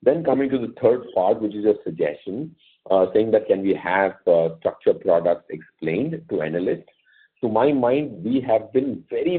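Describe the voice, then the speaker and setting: A courteous man with an Indian accent, answering investor questions as a company executive on an earnings call, explaining off the cuff and repeating words for emphasis. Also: medium pitch. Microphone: conference call line. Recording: dull lo-fi conference call line.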